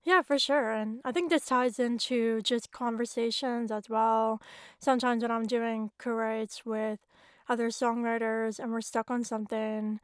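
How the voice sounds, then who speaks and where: A woman's voice: on the high side, fairly even intonation, unhurried, smooth, no room sound, studio quality. A woman hosting a songwriting podcast, chatting with her guest in a friendly, agreeing way. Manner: friendly, agreeing, laughs often. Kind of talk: casual interview chat.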